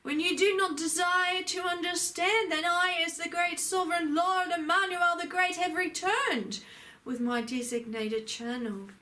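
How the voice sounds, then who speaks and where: A woman delivering a channeled sermon, speaking as a divine lord in a solemn, declamatory way with frequent pauses. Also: very high-pitched, bright, forceful tone. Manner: solemn, declamatory, pausing often. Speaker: spiritual channeler.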